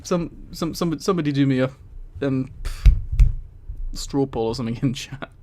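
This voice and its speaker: A live streamer with a mid-pitched voice reads aloud in a casual, chatty way. The delivery is amused and incredulous, breaking off for mildly sweary commentary to the viewers. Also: moderate pace, mellow, slight background noise. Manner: amused, often laughing while reading. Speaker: streamer and host.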